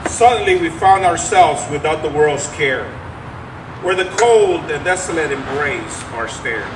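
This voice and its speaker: Man playing a high-voiced solemn prisoner poet. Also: animated, unhurried, background noise. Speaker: stage actor in a dramatic reading. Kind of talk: dramatic poetry reading. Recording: distant echoey room with music.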